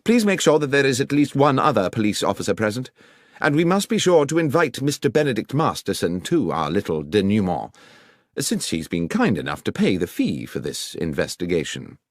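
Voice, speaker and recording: Guy doing a commanding gentleman detective, audiobook, precise questions, voice lifts and swoops a lot. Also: lowish voice, huge pitch swings, a little fast, dry recording, clean studio sound, voice pushed up, exaggerated voice. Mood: assured, commanding. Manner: precise, probing, formal questioning.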